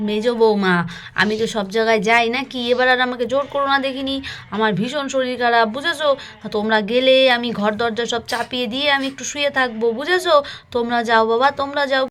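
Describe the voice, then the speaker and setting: A fussy old grandmother from a doll cartoon , played by a woman, talks in a fairly high voice. She is weary, fretful and insistent with her family. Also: fast talker, a bit bright, natural voice.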